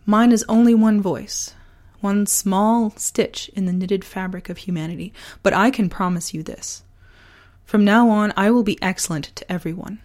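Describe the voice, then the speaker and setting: A woman hosting a personal podcast, talking earnestly and deliberately, her voice partly gone from a sore throat. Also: mid-range voice, a little mellow. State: losing voice from a sore throat virus. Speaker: podcast host. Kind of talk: personal podcast, reflective essay and casual chat.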